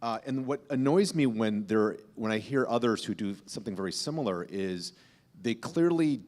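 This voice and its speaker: A man's voice: lowish voice, animated, normal speed, darkish tone. A man speaking as a podcast host on a panel, explaining things in an informal, emphatic way. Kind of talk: panel discussion talk, explanatory.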